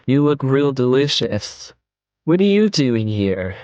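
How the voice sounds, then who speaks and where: A woman voicing a flirty, smooth-talking male guard in a game playthrough, slow and teasing. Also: rumbling low, very animated, darkish tone, no echo, highs cut off, voice pushed down, heavily acted.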